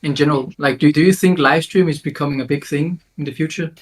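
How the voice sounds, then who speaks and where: A man's voice: a little high, fast. Earnest questioning man on a call. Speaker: guest. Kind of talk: casual chat on a group call. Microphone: video call.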